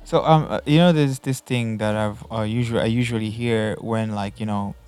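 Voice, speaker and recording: A man keeps his voice on a level, even line as he listens, murmuring agreement and putting curious questions to his guest. Soft music sits faintly under the talk. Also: on the low side, clear, resonant tone. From Tanzania. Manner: attentive, fills pauses with steady "mm" and asks curious questions. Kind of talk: casual conversation.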